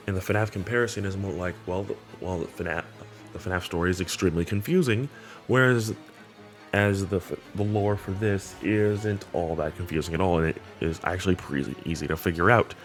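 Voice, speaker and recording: A man with a fairly low voice hosts a casual discussion of a horror series. He talks in a slangy, enthusiastic way, with light music underneath. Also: moderate pace. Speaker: video host. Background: background music.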